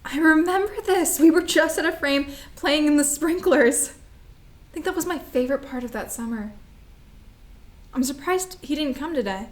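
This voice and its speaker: A woman's voice: high voice, normal speed, natural voice. A woman playing an immature, naive younger sister with a hesitant way of speaking in a staged play reading. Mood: wistful, confused. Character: Pearl, immature younger sister, grown and as child.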